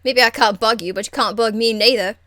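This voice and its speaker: A woman voicing a cheeky, crude young street rebel woman from a fantasy game, blunt, slangy and excitable. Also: a little high, a little fast, no room sound, studio quality, natural voice. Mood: defiant. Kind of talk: video game character acting.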